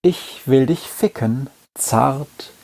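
A man reciting poetry in a measured, declamatory way. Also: mid-range voice, creaky, a little mellow.

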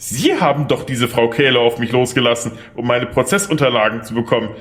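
A man's voice: medium pitch, a little fast, a bit bright, close to an everyday voice. A man playing a harried young lawyer in an audio drama, earnest and easily flustered. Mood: indignant. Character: harried, polite but indignant young male lawyer.

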